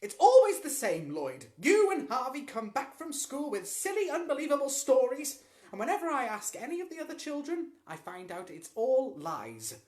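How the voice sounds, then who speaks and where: A reader voicing a fussy mother who scolds in a shrill, exclaiming way. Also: very animated, moderate pace, lightly acted. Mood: exasperated. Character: fussy, easily exasperated mother.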